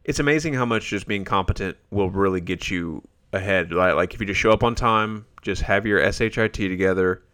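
An American man chatting casually as a podcast guest, often halting as he searches for words. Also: sits low, a bit bright, smooth, no echo. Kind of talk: casual chat.

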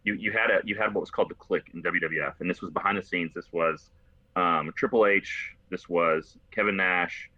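Low-pitched man, American accent, quick pace, casual storyteller, dry slightly dull recording. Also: fairly even intonation, pushed and bright, dry recording. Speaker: podcast host.

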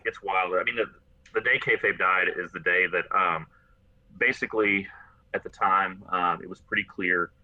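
An American man chatting casually and telling rambling, animated stories as a podcast host. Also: sits low, moderate pace, no echo. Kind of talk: casual conversational storytelling. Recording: slightly dull lo-fi, dry close sound.

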